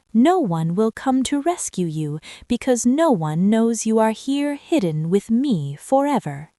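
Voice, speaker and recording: Woman as high, swooping, obsessive demon princess. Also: a little mellow, no echo, studio quality. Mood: smug, adoring. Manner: intimate, clingy, regal, sweetly menacing. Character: obsessive, lovestruck young demon princess of Hell. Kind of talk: ASMR roleplay. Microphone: close ASMR microphone.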